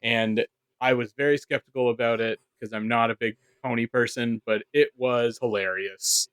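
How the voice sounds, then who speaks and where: A man's voice: mid-range voice, steady tone, normal speed, a bit bright, clear, resonant tone, no room sound. A man chatting casually as part of a podcast panel. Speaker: podcast producer joining the panel. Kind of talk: casual chat.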